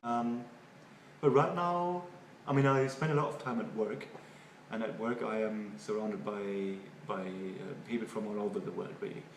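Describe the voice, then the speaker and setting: Distant noisy recording, man, German accent, native German speaker, halting and thoughtful, interview answers. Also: on the low side. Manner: halting, thoughtful, repeats words. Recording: echoey room, far from mic, noisy.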